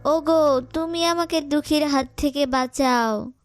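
Cartoon stepmother, voiced by a woman, high, panicky, pleading cries. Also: unhurried. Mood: frightened. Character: guilty stepmother, frightened and pleading. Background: background music.

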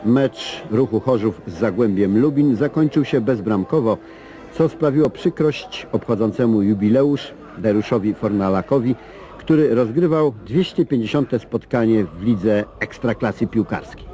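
A man narrating a football report and questioning players in a steady, formal manner. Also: lowish voice, moderate pace, soft background music. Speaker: sports reporter. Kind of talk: scripted report narration and interviewing.

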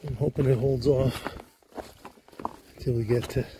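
A man's voice: mid-range voice, steady tone, normal speed, background noise. Upbeat man filming on a trail. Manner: upbeat, chatty, talking to viewers while hiking. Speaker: hiker filming his trail vlog. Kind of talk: casual walk-and-talk narration. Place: outdoors on a rocky mountain trail. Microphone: handheld camera while walking.

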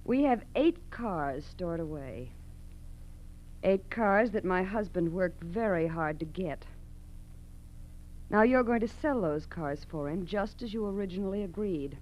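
American woman, ambitious criminal wife, pointed and assertive, animated intonation, humming old radio crime drama recording. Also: fairly low pitch, unhurried, slight background noise, rough recording. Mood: coolly threatening. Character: ambitious, coolly menacing young wife of a car thief. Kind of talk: 1940s radio acting.

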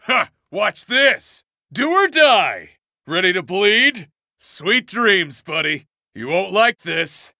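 A rowdy, cocky brawler of a man from a video game. He swaggers through short battle lines in a forceful, animated voice. The recording is clean and dry. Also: sits high, slow, gravelly, dry recording, exaggerated voice. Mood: fierce, cocky. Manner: brash, swaggering.

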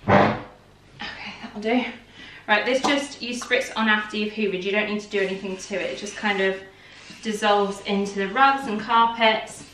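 A woman's voice: fairly high pitch, sharp tone, slight background noise. A young British woman chatting casually as she cleans and decorates her living room, recorded on a camera across the room. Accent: British English. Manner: chatty and upbeat, easily startled. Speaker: home vlogger. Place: living room at home, indoors. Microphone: camera mic from across the room. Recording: roomy, distant camera sound.

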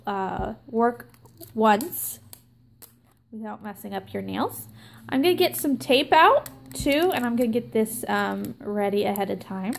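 A young woman hosting her own nail art channel, explaining a stamping tutorial slowly and with many ums. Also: a little high, vocal fry, slight background noise. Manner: slow, filler-heavy explaining with many ums. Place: indoors at a table, hands filmed from above.

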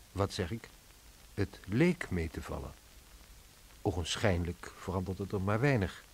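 Reflective dutch guy in hiding telling his war story, radio play, low voice, bit of hiss. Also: darkish tone, low-quality recording. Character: reflective man in hiding recounting the wartime occupation. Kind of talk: scripted radio drama narration. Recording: hissy old radio recording.

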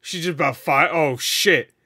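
Excitable swearing man, voice wearing out. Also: a little high, unhurried, slightly rough, dry recording, clean studio sound. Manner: excitable, swears a lot, laughs often. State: losing his voice. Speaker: reaction video host. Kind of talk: casual reaction commentary. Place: home room, indoors. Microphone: headset.

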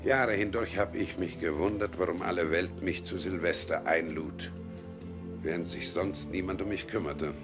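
Man playing very deep-voiced, cryptic hunchbacked scholar. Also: normal speed, over music, close to an everyday voice. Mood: wry, melancholy. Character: eccentric hunchbacked lizard scholar, cryptic and dryly blunt. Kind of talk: literary radio play acting.

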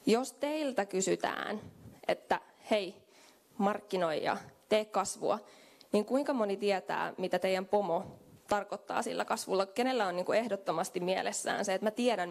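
A woman's voice: mid-range voice, vocal fry. A young woman giving a conference keynote into a handheld microphone in a meeting room, talking in a conversational way with fillers. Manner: conversational, thinks aloud with fillers. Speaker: keynote speaker. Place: hotel conference room with small audience.

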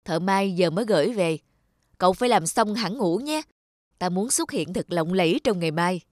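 A haughty, wealthy lady of the house acted by a woman in a cartoon, with a lowish voice. She is stern and exacting, giving commands in a dry, close sound. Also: lively intonation, normal speed, no echo, lightly acted. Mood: commanding. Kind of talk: dubbed children's cartoon dialogue.

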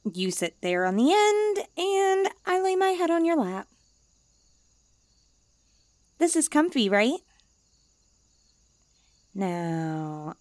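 A woman's voice: medium pitch, no room sound. A woman playing a haughty, needy young stray catgirl, blunt and cutesy, in an ASMR comfort roleplay. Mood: haughty, inviting.

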